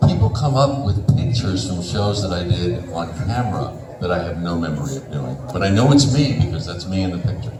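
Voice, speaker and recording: A man in his sixties, a voice actor, talking as a guest on a convention panel and telling animated stories. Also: low voice, fairly quick, few pauses. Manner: animated storytelling with laughs and acted-out quotes. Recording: roomy hall audio from a distance with noise.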